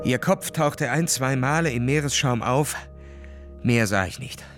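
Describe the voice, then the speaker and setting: An actor playing an officer who recounts his adventure in measured, dramatic literary radio narration. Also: medium pitch, a bit bright, faint music behind, natural voice. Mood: grave.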